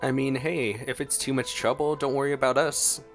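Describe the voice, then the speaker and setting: A man's voice: medium pitch, fairly even intonation, moderate pace. A man giving casual gameplay reaction commentary as a streamer. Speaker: game streamer. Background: faint game music.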